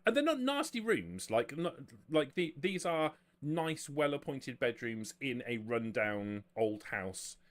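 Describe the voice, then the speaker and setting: A man narrating and explaining a tabletop roleplaying game as game master, halting and often rephrasing as he goes. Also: mid-range voice, big pitch swings.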